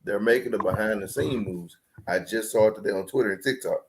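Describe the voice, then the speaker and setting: Thirty-something podcast dude, playful and teasing, steers the chat, faint hiss behind him. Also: male voice, on the low side, fast talker. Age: 30s. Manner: playful, ribbing, steers the talk. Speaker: podcast co-host. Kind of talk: casual chat.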